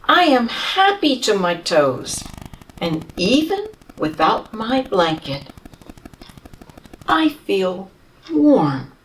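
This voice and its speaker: A woman reading a children's storybook in the voice of a humble, tired male camel who tells his own tale slowly and tenderly. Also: medium pitch, distant mic, a little hiss or hum, low-quality recording. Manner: slow, tender storybook telling with lively rises.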